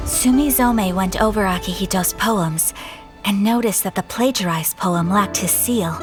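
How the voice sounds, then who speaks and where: A refined young lady played by a woman speaks formally at a medium pitch. She is a poised, courteous game character, with music behind her. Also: a little slow, close to an everyday voice. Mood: poised, earnest. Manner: formal, composed. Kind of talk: video game voice acting.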